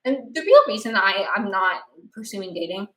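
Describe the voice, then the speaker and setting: A woman's voice: mid-range voice, normal speed. Young woman podcaster, rambling, lots of restarts, roomy echo. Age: 20s. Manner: rambling, animated, frequent restarts and "like". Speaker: podcast host. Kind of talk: casual chat.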